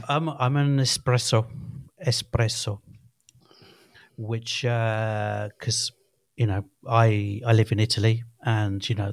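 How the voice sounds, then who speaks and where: Chatty low-voiced man in his fifties. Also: no echo, studio quality. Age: 50s. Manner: rambling, chatty, restarts often. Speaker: podcast co-host. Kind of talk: casual chat.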